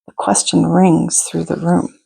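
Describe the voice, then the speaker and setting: Slow, mellow woman reading a children's storybook. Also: lowish voice, gravelly, husky. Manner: deliberate, expressive, pauses to ask listeners questions. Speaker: storytime host reading a picture book. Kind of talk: read-aloud storytelling for children, with asides to listeners.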